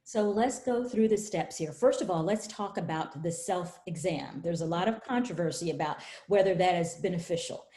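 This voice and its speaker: Woman host, middle-aged, welcoming and polished, low mellow voice, echoey room on a video call. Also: moderate pace, few pauses. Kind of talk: hosting a webinar interview. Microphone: webcam on a video call. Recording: echoey room over a webcam.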